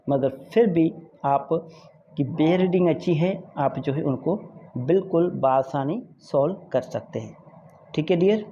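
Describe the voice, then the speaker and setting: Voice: mid-range voice, dark tone. A lecturer teaches informally for exam students, explaining point by point, repeating key ideas and often checking understanding. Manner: repetitive, checks understanding often with tag questions; switches into English to read out legal definitions slowly. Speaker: law lecturer. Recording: dull, muffled audio with background noise.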